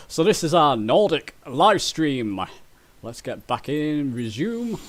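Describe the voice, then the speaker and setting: A man hosting a casual flight simulator livestream over voice chat, friendly, often repeating words as he goes. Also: a little high. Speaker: livestream host.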